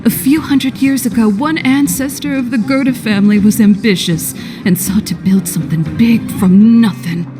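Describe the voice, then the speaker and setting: A woman's voice: a little high, moderate pace, music in the background, close to an everyday voice. A woman playing a welcoming, chatty hotel keeper in a video game, friendly and informal. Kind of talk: game cutscene dialogue.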